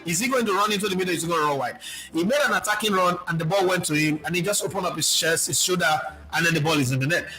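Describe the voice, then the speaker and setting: A Nigerian man speaking as a football pundit, answering viewer questions in an animated, preachy way and mixing in pidgin. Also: sits high, racing, faint music behind, rough recording. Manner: animated, preachy, lists players, mixes in pidgin.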